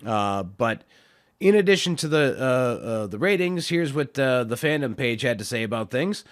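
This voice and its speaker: Hoarse man rambling on a podcast. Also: medium pitch, animated, normal speed. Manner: rambling, filler-heavy, self-deprecating. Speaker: podcast host. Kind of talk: casual solo podcast talk.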